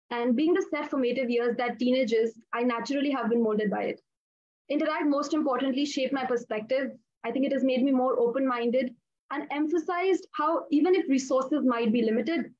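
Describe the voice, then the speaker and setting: Young female panelist, high pitch, measured and articulate, dry lo-fi call sound. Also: a little mellow, no echo. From India. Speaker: youth panelist. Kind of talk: prepared panel answers. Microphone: video call. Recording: dry, slightly dull call audio.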